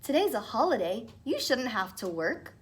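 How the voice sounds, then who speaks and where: A woman's voice: a little high, very animated, normal speed. A woman voicing a cocky, clever young man in a storybook, with a bold, confident manner. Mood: sweetly polite.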